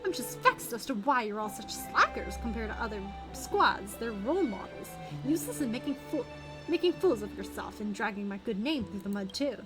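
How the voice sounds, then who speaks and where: A woman's voice: a little high, sing-song, fairly quick, voice pushed down, rough recording. A woman voicing a bossy, scornful little chimera squad leader from a game, scolding and imperious. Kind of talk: reading game dialogue aloud. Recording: game music behind the voice.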